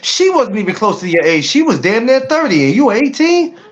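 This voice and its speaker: A man's voice: very high-pitched, big pitch swings, brisk, a crowd in the background. A man around forty chatting casually on a podcast, with easygoing, reactive banter. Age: 40s. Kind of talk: casual chat. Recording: dull lo-fi mic with faint noise.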